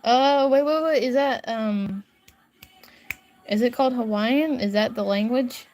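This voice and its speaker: A woman's voice: fairly high pitch, a little slow, a little mellow, soft background music. Giggly rambling young woman streamer. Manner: rambling, giggly, easily sidetracked while reading comments. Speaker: livestreaming artist. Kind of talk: casual livestream chat. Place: home bedroom upstairs.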